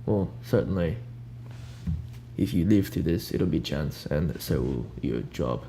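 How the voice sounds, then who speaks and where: A young man improvising a soft-spoken ASMR roleplay as a cool, ominous recruiter, talking in a casual, blunt, unhurried way over hiss and hum. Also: extremely low pitch, expressive. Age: young adult. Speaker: ASMR roleplayer playing a job interviewer. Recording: audible background hiss and hum.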